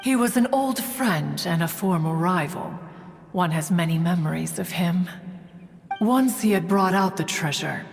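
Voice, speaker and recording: Pompous crane spirit. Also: female voice, on the low side. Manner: pompous, stately, refers to herself as one. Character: proud haughty female crane spirit and inventor. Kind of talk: video game voice acting. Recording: long reverb with game music behind.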